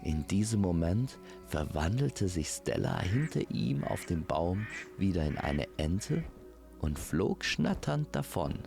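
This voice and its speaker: A man narrating a scripted fairy tale in a measured, poetic manner. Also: low-pitched, very animated, vocal fry, a little mellow, soft background music. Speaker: storyteller.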